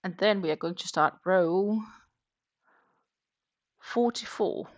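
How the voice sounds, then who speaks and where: A woman chats casually on a crochet livestream. Her voice sits a little low, and she keeps up an easygoing patter as she reads out viewer comments. She mutters stitch counts to herself between sentences. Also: slow, muffled recording. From Denmark. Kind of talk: casual chat while crocheting.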